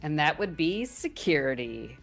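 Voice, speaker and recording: A woman's voice: low-pitched, sing-song, moderate pace, soft background music. A woman co-hosting a tech podcast, enthusiastic and lightly playful. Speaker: podcast co-host.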